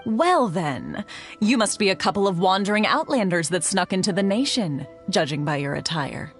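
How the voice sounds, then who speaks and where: A woman's voice: mid-range voice, lively intonation, normal speed, soft background music, close to an everyday voice. A woman playing a polite, guarded, observant tea house attendant in a video game, with formal courtesy. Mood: guarded, dismissive. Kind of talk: video game character acting.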